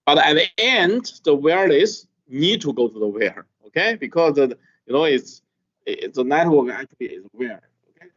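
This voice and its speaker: Middle-aged lecturer checking in with "okay?". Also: male voice, a little high, very animated, a bit bright. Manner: halting, frequent "okay?" checks with listeners. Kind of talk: classroom lecture. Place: classroom. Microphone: video call. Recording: dull lo-fi video call audio.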